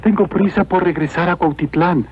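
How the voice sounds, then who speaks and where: A man playing a humble, honest young villager in a cartoon, speaking earnestly and politely with gentle deference. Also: high-pitched, moderate pace, noisy background, close to an everyday voice. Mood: earnest, cheerful. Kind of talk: dubbed cartoon acting. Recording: muffled lo-fi old cartoon soundtrack.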